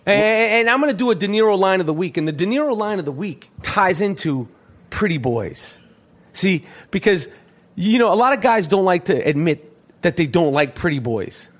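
A man's voice: sits high, expressive, moderate pace. A man hosting his podcast in casual chat, swearing often and stumbling over restarts. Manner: profane, stammering restarts. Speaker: podcast host.

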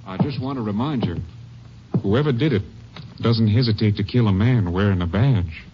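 An actor playing a seasoned, direct Ranger captain in a 1950s radio drama. He speaks in a brisk, blunt way. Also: fairly low pitch, heavy background noise, close to an everyday voice. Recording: muffled vintage radio broadcast.

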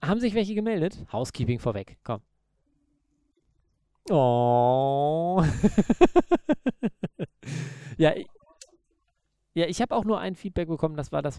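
A man's voice: fairly high pitch, mellow, clean tone, no echo. A man co-hosting a podcast, chatting casually in loose, joking banter. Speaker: podcast co-host. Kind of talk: casual chat.

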